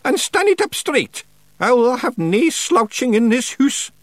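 A man's voice: very high-pitched, sing-song, unhurried, no room sound, clean studio sound, pitched above the performer's own voice, full character voice. A man voicing an ancient, stern and suspicious witch, questioning sharply in an audiobook. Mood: commanding. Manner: commanding, sharp interrogator. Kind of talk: audiobook character voice.

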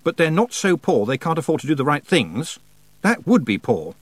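A man voicing a sensible, sharp-tongued young witch girl in a storybook, plain-spoken and firm. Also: a little high, very animated, moderate pace, no room sound, pitched above the performer's own voice. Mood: stern, exasperated.

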